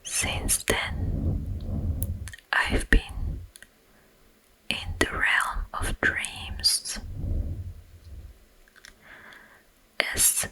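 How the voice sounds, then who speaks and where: A woman whispering as a sleep paralysis demon in an ASMR roleplay, softly menacing yet soothing, telling an eerie story in hushed, drawn-out phrases. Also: poor audio. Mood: soft menace. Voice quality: breathy, unvoiced whisper. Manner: slow, hushed, drawn-out phrasing with long pauses. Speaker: ASMR performer.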